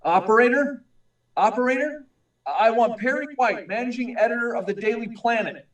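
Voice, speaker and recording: Man acting as young male reporter, high voice, insistent, old-time radio acting, roomy echo. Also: moderate pace, recorded from a distance. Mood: eager, pressing. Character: earnest, urgent young male newspaper reporter. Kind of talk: 1940s radio drama acting. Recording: echoey room, away from mic.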